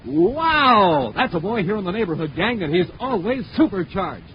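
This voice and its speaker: A male 1950s radio announcer delivering an excited pitch to listeners. His voice is high and swoops with lively inflection. The recording is muffled, with faint noise. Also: normal speed.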